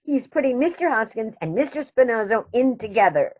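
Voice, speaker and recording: Through a thin phone-like line comes a prim elderly aunt, played by a woman in a radio comedy. Her husky voice is lively and proper, scolding in a matter-of-fact way. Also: fairly high pitch, a little fast. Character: prim, indignant elderly aunt, serenely murderous. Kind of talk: radio play acting.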